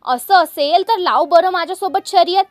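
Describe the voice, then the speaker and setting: Woman voicing a high-voiced boastful hare. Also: normal speed, dry recording, faint music behind. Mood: challenging. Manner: cocky, challenging. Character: proud, boastful young male hare, dismissive. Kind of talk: children's storybook character voice.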